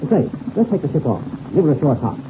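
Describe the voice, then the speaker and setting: An actor playing a confident, hearty veteran pilot mentor in a 1930s radio serial, brisk, instructive and self-assured. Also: male voice, fairly high pitch, big pitch swings. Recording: dull, very noisy old radio recording.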